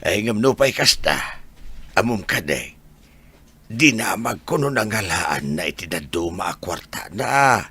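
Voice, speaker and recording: Husky, animated gossiping village husband. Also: male voice, lowish voice, a little slow, exaggerated voice. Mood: chatty. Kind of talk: Filipino radio comedy-drama acting.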